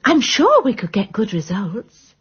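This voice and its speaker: An actress playing a lonely, credulous nurse in a radio drama, speaking in an earnest, polite and eager way. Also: female voice, on the high side, huge pitch swings, unhurried, a bit bright, slightly rough, highs cut off, exaggerated voice. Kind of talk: British radio drama acting.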